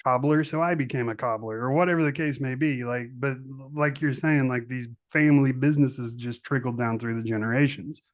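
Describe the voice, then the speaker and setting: A man's voice: medium pitch, fairly even intonation, brisk, few pauses, no room sound. A middle-aged man hosting a podcast in casual interview chat, talking enthusiastically and repeating words for emphasis. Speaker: podcast host.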